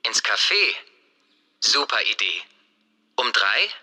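An actor playing a casual man inviting a friend out, spoken slowly and clearly for a scripted language test. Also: fairly low pitch, very animated, sharp tone, big-room sound, exaggerated voice. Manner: slow, deliberate, clearly spoken.

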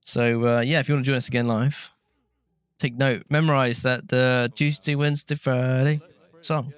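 A man's voice: mid-range voice, little pitch movement, normal speed, smooth, no echo. A man from Britain co-hosting a game stream, chatting casually, thanking donors and singing little jingles. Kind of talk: casual chat while gaming. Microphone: gaming headset.

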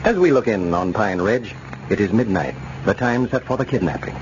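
Lively vintage American radio announcer man. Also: fairly low pitch, moderate pace. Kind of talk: 1940s radio narration and sponsor read. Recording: muffled, hissy old radio recording.

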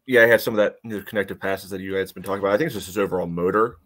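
Low, flat, rambling man. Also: rapid-fire. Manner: rambling, peppered with "you know". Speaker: sports podcast panelist. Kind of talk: casual sports discussion. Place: indoor room on a video call. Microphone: video call microphone.

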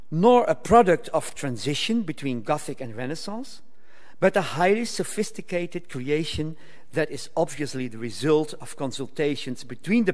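An older man reading a formal academic paper aloud at a lectern, measured and occasionally stumbling over words. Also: on the high side. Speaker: conference speaker. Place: lecture hall, speaking at a lectern. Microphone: lectern microphone. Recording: reverberant hall.

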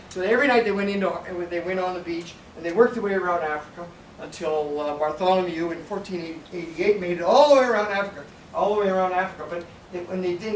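An older man giving a casual slide lecture on sailing history, rambling and animated, with frequent restarts and asides. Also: sits high, a little hiss or hum. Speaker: sailing presenter. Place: darkened lecture room with projector. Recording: echoey room from a distance, faint ambience.

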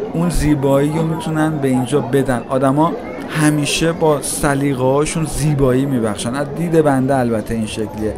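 Chatty man narrating a mall walk. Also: a little high. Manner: chatty, addresses viewers directly, musing. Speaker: walking tour vlogger. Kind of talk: casual walking-tour narration. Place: large indoor shopping mall. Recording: noisy mall ambience. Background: mall crowd ambience.

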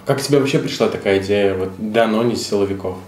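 Echoey, fairly low-voiced male interviewer. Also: fast talker, darkish tone. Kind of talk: conversational interviewing. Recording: echoey room sound.

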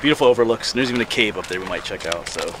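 A man from Pennsylvania narrating his hike in a relaxed, chatty way, recorded outdoors on a camera. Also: on the low side, very fast. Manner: relaxed, chatty, pointing things out. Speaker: hiking vlogger. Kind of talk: casual narration while hiking. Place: outdoors on a wooded mountain trail. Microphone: handheld action camera. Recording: outdoor noise, insects and wind.

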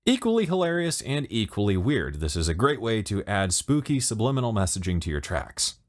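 A young man with a low voice talks through a production tutorial in a casual, chatty way, full of easygoing fillers. His pitch sits on the deeper side but swings widely as he explains. He speaks into a studio condenser mic in a small home studio. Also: a little fast. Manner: relaxed, chatty, many fillers like "kind of" and "you know". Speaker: music producer and tutorial host. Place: home music studio with monitors, indoors. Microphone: studio condenser mic on stand, wearing headphones.

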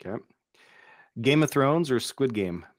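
A middle-aged man hosting a casual interview over a video call, upbeat with quick reactions, speaking into a studio mic. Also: medium pitch, animated, no room sound. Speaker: interview host. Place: home studio. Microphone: boom studio microphone with headphones.

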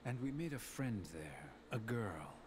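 An actor playing a cold, aloof young villain in a game drama, measured and stern. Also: male voice, mid-range voice, sing-song, noisy background. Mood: grave, cold. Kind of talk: dramatic video game voice acting.

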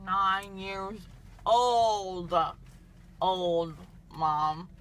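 Young woman, post-anesthesia groggy, slurred and silly, slow talker, car noise behind. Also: medium pitch, a bit bright. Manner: silly, slurred, breaks into sing-song. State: groggy from anesthesia, numb mouth. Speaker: patient after wisdom teeth removal. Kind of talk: loopy rambling chat. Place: passenger seat of a moving car. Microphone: phone camera held by driver.

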